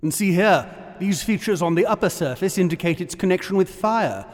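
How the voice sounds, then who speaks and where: Learned archaeologist, assured, didactic, fairly high voice, long vault reverb, radio horror play. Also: male voice, animated, a little fast. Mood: absorbed, certain. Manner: precise, didactic. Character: learned, assured British archaeologist examining ancient altars. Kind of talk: radio horror drama acting. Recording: echoey stone vault reverb.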